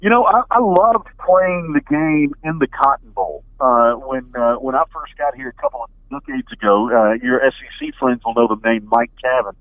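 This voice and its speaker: A middle-aged man speaks over a slightly muffled, dry phone connection. He has a mid-pitched voice and talks like a radio sports announcer being interviewed, conversational and analytical. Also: animated, normal speed, few pauses, dry recording. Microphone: telephone. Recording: band-limited phone line.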